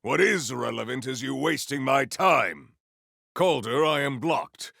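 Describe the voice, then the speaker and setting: A man playing a solemn, proud warrior hunter in a video game, speaking in formal, stilted, declamatory sentences with a gravelly low voice. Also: lively intonation, measured pace, pushed and bright, no echo, studio quality, exaggerated voice. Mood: bold, grandiose. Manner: formal, stilted, declamatory speech without contractions. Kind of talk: video game character acting.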